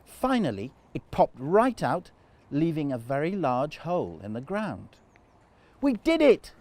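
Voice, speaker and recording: A man telling a children's story in an animated storytime narration style. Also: a little high, unhurried, no echo.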